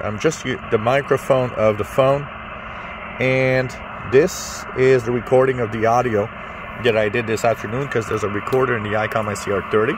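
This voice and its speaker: Low-voiced man with noisy background. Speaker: amateur radio channel host. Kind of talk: casual hands-on demonstration.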